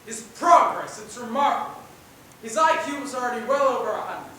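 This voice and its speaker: A woman acting as a confident, formal professor, measured and explanatory, in an amateur student play. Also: fairly high pitch, bright, forceful tone. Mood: impressed. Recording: echoey stage, distant mic, faint hiss.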